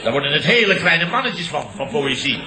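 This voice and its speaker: An actor playing a blustering, self-important middle-aged boss who tells indignant tall tales emphatically in a Dutch radio comedy. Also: male voice, a little high, big pitch swings, brisk, crowd noise. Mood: smug. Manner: emphatic, ends phrases on questioning tags. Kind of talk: 1960s-70s Dutch radio comedy acting. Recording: roomy old radio comedy recording.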